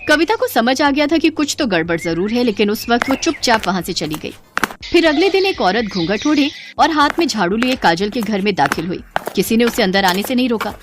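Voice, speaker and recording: A woman narrating a cartoon story in a dramatic, expressive scripted way, with faint music behind. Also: on the high side, brisk, background noise.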